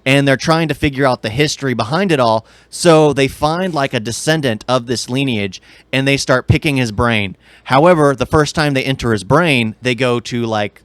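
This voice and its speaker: A man's voice: mid-range voice, a bit bright, clear, resonant tone, no room sound. A man chatting casually as a podcast co-host about game movies, explaining things in a rambling way.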